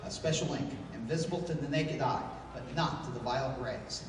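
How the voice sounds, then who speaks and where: A man's voice: mid-range voice, a little fast, crowd noise, close to an everyday voice. Man playing a commanding hero in a distant, echoing hall. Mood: grave, deliberate. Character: commanding, analytical adventure hero, leader of his crew. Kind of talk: 1930s-style radio play acting. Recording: echoey hall from far off, noisy.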